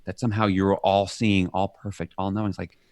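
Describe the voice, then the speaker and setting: A middle-aged man with a very low voice gives an animated guest interview. He jumps into loud acted-out quotes, then sinks quiet and soft. The sound is dry and close. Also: a little fast, dry recording. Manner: animated, acts out quoted shouts. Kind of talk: conversational interview.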